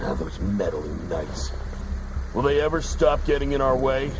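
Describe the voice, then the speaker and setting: A man's voice: mid-range voice, big pitch swings, measured pace, noisy background. A man voicing a grumbling, menacing, hot-tempered henchman in a video game, blustering and resentful. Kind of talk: video game enemy acting.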